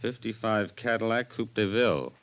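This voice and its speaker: An American actor playing a businesslike police sergeant in a 1950s radio drama, speaking in a clipped, official manner. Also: male voice, fairly low pitch, normal speed, lightly acted. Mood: terse. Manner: clipped, official, matter-of-fact. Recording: dull, noisy vintage radio recording.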